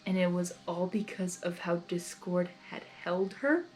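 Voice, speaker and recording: A woman reading fan fiction aloud as narrator, expressive and excitable, with casual reactions. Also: lowish voice, measured pace, soft background music, low-quality recording.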